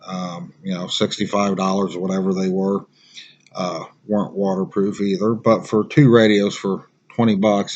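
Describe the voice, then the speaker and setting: Man, low voice, casual gear review, plain-spoken and halting, dull lo-fi recording. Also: darkish tone. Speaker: product reviewer. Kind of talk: casual product review to camera.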